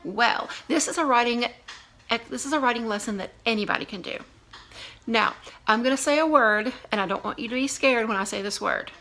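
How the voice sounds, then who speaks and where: A woman English teacher giving a video lesson to young students in an animated, conversational way with emphatic repetition. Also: on the high side, brisk, low-quality recording.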